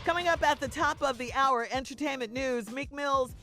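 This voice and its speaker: Female radio co-host, entertainment news and banter, clear music bed underneath. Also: sits high, moderate pace.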